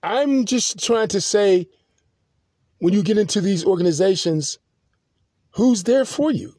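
A man hosting a podcast, telling a long personal story in a halting, rambling monologue full of restarts and fillers. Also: sits high, lively intonation, dark tone, dry recording, studio quality. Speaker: podcast host.

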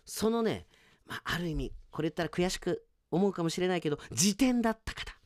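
A man hosting a radio show, chatting casually as he reads out listener mail and comments on it in an animated, chatty way. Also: high voice, fairly quick, no room sound, clean studio sound. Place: dry radio studio.

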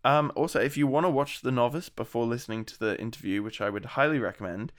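An Australian man hosting a podcast interview, friendly and chatty, his voice gravelly from being sick. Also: medium pitch, fast. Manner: friendly, chatty, many ums. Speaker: podcast host.

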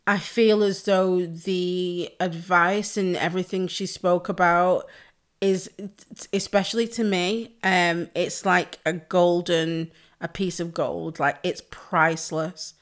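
A woman hosting her own podcast alone, hoarse and losing her voice, chatting casually, swearing freely and laughing often. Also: fairly low pitch, steady tone, takes it slowly. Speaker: podcast host. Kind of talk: casual solo podcast chat.

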